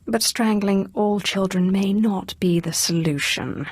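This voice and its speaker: A woman's voice: medium pitch, a little slow, husky, no room sound, studio quality. A woman voicing a ruthless, sardonic Sith sorceress in a video game, haughty, cool and ambitious. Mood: dry sarcasm. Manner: haughty, cool, dryly sarcastic. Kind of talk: scripted video game voice acting.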